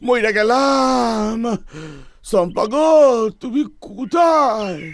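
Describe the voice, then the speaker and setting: A man's voice: very high voice, lively intonation, takes it slowly, a bit bright, a little hiss or hum, heavily acted. A man voicing a gluttonous, cowardly, melodramatic son-in-law in a comic cartoon, whining in an eastern Bengali dialect. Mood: wailing in pain. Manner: wailing, melodramatic. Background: music.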